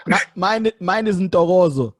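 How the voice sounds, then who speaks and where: A South African man hosts a casual chat in a high, very animated voice. His sound is husky and close, and he often drops in short, agreeable interjections. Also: a little slow. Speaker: podcast host.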